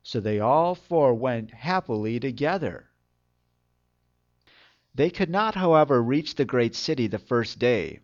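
A man narrating a fairytale as a storyteller, with expressive delivery. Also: mid-range voice, measured pace, dull audio.

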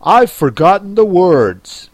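A woman voicing a brash, bossy male gryphon from a storybook, loud and emphatic. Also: on the low side, big pitch swings, takes it slowly, no room sound, voice pushed up, exaggerated voice. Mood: gruff, brisk. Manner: shouty, emphatic.

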